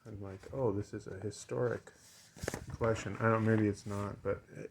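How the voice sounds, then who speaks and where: A man's voice: lowish voice, little pitch movement, normal speed, vocal fry, background noise. A man giving a casual, unscripted show-and-tell as a collector, hesitant and rambling, often trailing off mid-thought. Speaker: record collector and seller showing items.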